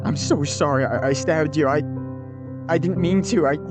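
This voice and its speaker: Young male hero voiced by an actor, apologetic, stammering, hesitant, audio drama, loud music behind. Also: medium pitch, close to an everyday voice. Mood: remorseful. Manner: halting, stammering.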